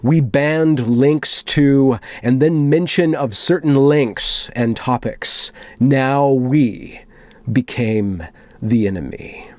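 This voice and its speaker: Male commentary vlogger, medium pitch, dry sound. Reads written text with measured drama and drops in casual, wry asides. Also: a little mellow, no echo. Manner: deliberate, dramatic read-aloud broken by wry, conversational asides. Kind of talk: reading a written post aloud with offhand commentary.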